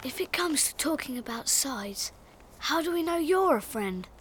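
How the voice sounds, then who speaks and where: A woman's voice: high voice, lively intonation, normal speed, soft background music. An actress playing an earnest, anxious young girl in a fantasy audio drama.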